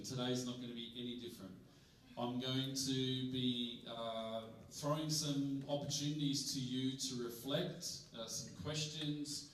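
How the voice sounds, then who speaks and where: A middle-aged Australian man giving a relaxed conference presentation, with plenty of ums and uhs. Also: mid-range voice, slight background noise. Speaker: guest presenter. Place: large conference hall. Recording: echoey hall from far off.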